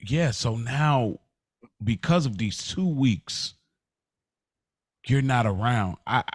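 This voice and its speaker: A man chats as a podcast host in a middle-pitched voice. He talks informally, leaning on questions and repetition to make his case. The recording is dry and close, free of room echo. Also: animated, slow pace, husky. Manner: informal, conversational phrasing. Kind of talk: casual podcast conversation.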